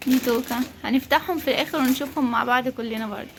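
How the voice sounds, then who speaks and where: An Egyptian woman talks quickly in a highish voice, presenting a family vlog. She explains things casually to the viewers and chats a child along. The recording is a homemade one with clear background noise. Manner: chatty, explaining, prompting a child. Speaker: mother co-hosting a family unboxing vlog. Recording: clearly noisy home-video recording.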